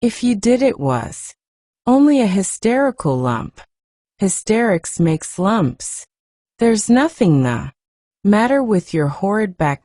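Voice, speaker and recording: A woman's voice: mid-range voice, mellow, no echo, clean studio sound. A woman voicing a fierce, bossy little girl who scolds sharply.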